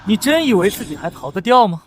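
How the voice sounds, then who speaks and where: A man voicing a cocky, defiant young sci-fi hero, scornful and dramatic, in an animated comic. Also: sits high, fast, slight background noise. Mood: cold confidence.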